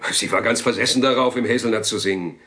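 A man's voice: on the low side, fast, sharp tone. An actor playing a worried young male reporter, earnest and anxious, in a German audio drama. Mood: insistent, anxious.

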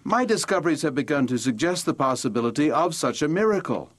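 An actor playing an earnest, idealistic, guilt-ridden Victorian gentleman doctor, speaking formally and eloquently in an audio drama. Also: fairly high pitch, sing-song, fast. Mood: earnest, brooding. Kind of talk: audio drama, journal narration and dialogue.

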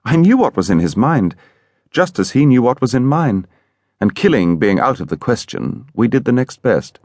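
Actor as an animated English gentleman storyteller, swooping pitch. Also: normal speed, no room sound. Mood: indignant. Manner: vivid, dramatic, emphatic. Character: animated English gentleman recounting a horrible street incident, indignant. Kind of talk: audiobook character storytelling.

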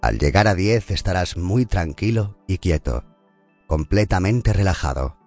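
A man narrating a scripted guided meditation in a calm, soothing and unhurried manner. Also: low-pitched, soft background music.

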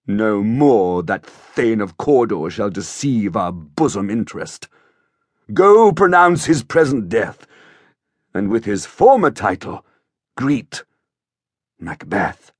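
A man voicing a stately, commanding king, speaking Shakespearean verse in a regal, measured manner. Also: on the low side, very animated, no echo, clean studio sound, exaggerated voice. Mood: gratified, decisive. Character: stately, commanding king, generous to his warriors. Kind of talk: dramatized Shakespeare verse audiobook.

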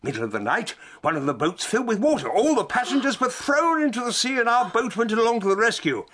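An actor playing an eccentric, blustering old English gentleman professor in a radio drama, with a grandiose, old-fashioned way of talking. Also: very high-pitched, sing-song, quick pace, slight background noise, exaggerated voice. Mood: breezy, dramatic. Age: older. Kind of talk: full-cast radio dramatisation.

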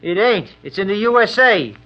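A man's voice: sits high, very animated, normal speed. A man playing a brash, wisecracking young army private with a Brooklyn accent in a 1940s radio drama. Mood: cocky, cheerful. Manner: breezy, slangy, wisecracking. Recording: dull old 1940s radio recording.

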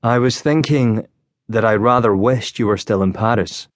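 A man narrating an audiobook as a frail, gloomy young wounded officer, speaking with gentle, wistful courtesy. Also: lowish voice, moderate pace, no room sound, studio quality, natural voice. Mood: grave, earnest.

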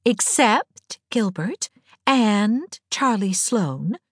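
Storybook teenage girl female reader acting: earnest, sentimental, fond, raised pitch with lively swings. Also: measured pace, husky, dry recording, clean studio sound, exaggerated voice. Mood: sly.

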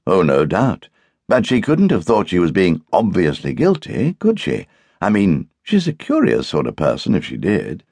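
A man's voice: deep voice, huge pitch swings, normal speed, vocal fry, darkish tone, breathy edge, no echo, pitched above the performer's own voice, full character voice. A man playing a droll, theatrically pompous houseguest. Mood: argumentative. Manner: pompous, droll, mock-wounded. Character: witty, teasing, theatrically indignant English male houseguest.

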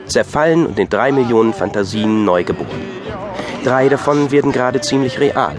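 A man's voice: medium pitch, lively intonation, moderate pace. A man narrating an audio drama from a script in a dryly wry storytelling manner. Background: music.

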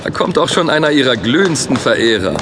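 A man playing a calm, thoughtful leader in a science fiction audio drama, speaking in a measured, reassuring way. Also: medium pitch, noisy background, natural voice. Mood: wry, musing. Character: calm, thoughtful male space-faring leader. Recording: long reverberant hall sound.

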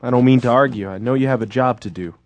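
An actor playing a polite young drifter in an audio western, with an American accent and a deferential, slightly hesitant, plain-spoken manner. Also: male voice, medium pitch, quick pace, background noise, natural voice. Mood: pleading, earnest. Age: young adult. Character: polite, earnest young drifter seeking work. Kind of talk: audio western drama acting.

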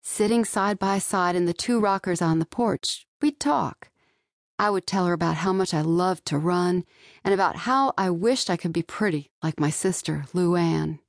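A woman narrates a young-adult audiobook in the first person. Her voice sits fairly low. The recording is dry, with very little room sound. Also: normal speed, no echo. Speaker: author narrating own audiobook. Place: dry studio.

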